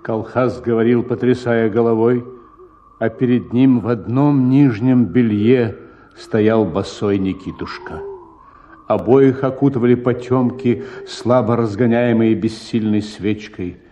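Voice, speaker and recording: A man's voice: medium pitch, unhurried, dark tone, muffled recording. A man narrating a literary short story for a radio drama in a scripted style.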